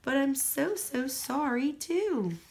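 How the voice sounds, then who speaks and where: A woman's voice: sits high, expressive, takes it slowly, vocal fry, slight background noise, pitched above the performer's own voice, exaggerated voice. A woman voicing an earnest, anxious little storybook girl in a tiny, plaintive voice. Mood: apologetic.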